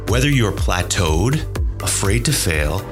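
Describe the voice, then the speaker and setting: Low-voiced man hosting a podcast. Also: big pitch swings, measured pace, music in the background. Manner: friendly, many fillers and restarts. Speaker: podcast host. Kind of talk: casual interview with scripted intro.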